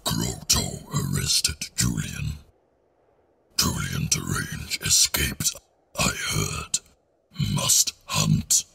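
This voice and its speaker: An actor playing a blunt, menacing female rhino-like alien ex-captain in an audio drama, gravelly and guttural, in clipped broken sentences. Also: very deep voice, very slow, pushed and bright, full character voice. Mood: grudging. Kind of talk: fan audio drama acting.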